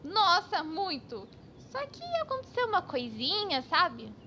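A woman with a Brazilian accent voicing an excitable, scatterbrained best friend in a children's comedy cartoon, dramatic and full of exclamations. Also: very high voice, unhurried, slight background noise, exaggerated voice. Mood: cheerful. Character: excitable, scatterbrained, over-prepared young female best friend.

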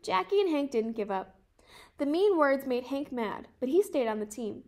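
A woman in her twenties reading a children's picture book aloud in a careful, measured way. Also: high voice, very animated, darkish tone. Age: 20s. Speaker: storybook reader.

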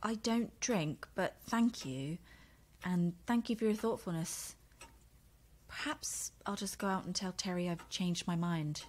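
An actress playing a shy, nervous, chatty romance novelist in a radio drama, hesitant, polite and self-deprecating. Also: female voice, on the low side, measured pace, darkish tone. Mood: polite, reluctant. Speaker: actor in an audio drama. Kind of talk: naturalistic radio drama acting.

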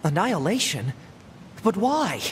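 A man's voice: high-pitched, very animated, measured pace, slight background noise. A man voicing a curious, earnest, youthful military android in a video game. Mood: distressed. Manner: earnest, inquisitive. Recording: music behind.

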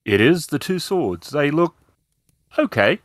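A man's voice: a little high, very animated, a little slow, dry recording. A man narrating as a game master, improvising descriptions in a hesitant, explanatory way.

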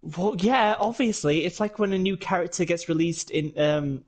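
A man's voice: high-pitched, normal speed, a little mellow. A young man chatting and joking while playing a game with a friend, swearing now and then. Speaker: co-player. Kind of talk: casual gaming chat.

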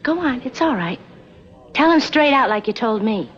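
An earnest young frontier woman in a television western. Her high voice is indignant and insistent. The vintage soundtrack sounds dull. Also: lively intonation, normal speed, fairly bright tone. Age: young adult. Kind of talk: 1960s TV western acting.

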